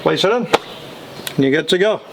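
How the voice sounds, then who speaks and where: Slow-talking man in an echoey room. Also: on the high side, lively intonation, creaky. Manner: instructional, step-by-step. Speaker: product demonstrator. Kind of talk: casual product walkthrough. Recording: echoey room with faint noise.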